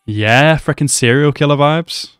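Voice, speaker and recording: A man's voice: on the high side, measured pace, fairly bright tone, smooth, dry recording, soft background music. A young man casually reacting to an anime episode, chatty and swearing a lot, calling the characters bro. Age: young adult. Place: small room at home. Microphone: headset mic.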